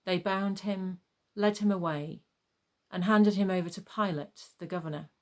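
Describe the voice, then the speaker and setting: A woman narrating a dramatised Bible reading in a measured, formal storytelling manner. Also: mid-range voice, steady tone, darkish tone.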